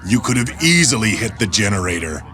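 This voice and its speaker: An actor playing a stern male robot military commander in an animated dub, speaking formally and with measured authority. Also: fairly low pitch, a crowd in the background. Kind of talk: English-dubbed animation acting. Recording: music behind the voice.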